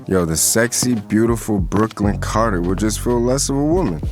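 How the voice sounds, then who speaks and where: Man acting as brash smooth-talker over music. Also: on the low side, lively intonation, moderate pace, natural voice. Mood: persuasive. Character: brash young man taunting and sweet-talking a woman. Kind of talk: audio drama acting. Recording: music behind the voice.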